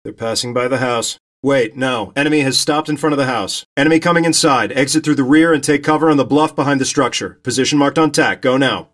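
Game role: male actor as military spotter pilot, middle register, terse and commanding, steady under pressure. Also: medium pitch, brisk, hardly any pauses, close to an everyday voice. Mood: urgent. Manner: clipped, commanding. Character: steady male military reconnaissance pilot directing a ground squad. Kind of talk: terse military radio commentary, game acting.

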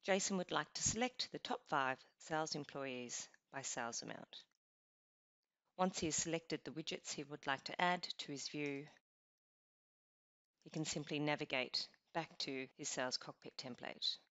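A woman narrating a scripted software walkthrough, explaining each step in an even, instructional way. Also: low voice, moderate pace, dry recording. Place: voice-over over screen recording. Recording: dull lo-fi voice-over.

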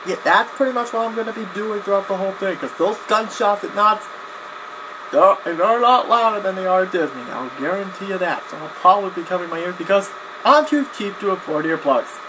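A man's voice: high voice, expressive. Rambling young man vlogging over background noise. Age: young adult. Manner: rambling, halting, backtracks and repeats phrases. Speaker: video blogger. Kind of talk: casual vlog announcement to camera. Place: small cluttered home room, indoors. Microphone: webcam at desk distance. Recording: clear background noise, webcam-grade audio.

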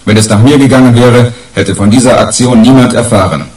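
A man's voice: mid-range voice, fairly even intonation, brisk, a little mellow, lightly acted. Echoey, distant male newspaper voice, an actor. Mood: proclaiming. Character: male voice of a sensational, self-important tabloid report. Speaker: reader of newspaper articles. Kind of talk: formal newspaper read-out in radio drama. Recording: distant, echoey room sound.

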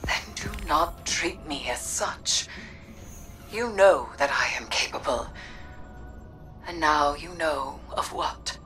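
A brooding sorceress out of a dark fantasy game. Her low voice moves slowly and formally, weighing each word with solemn defiance. Music sits behind her. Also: poor audio. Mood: pleading, resolute. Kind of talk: theatrical video game dialogue.

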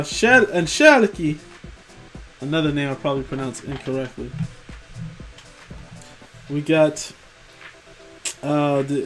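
A young man rambling casually about collectible figures, profane and tired after work, tripping over names. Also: fairly high pitch. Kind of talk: casual commentary over screen images. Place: home room.

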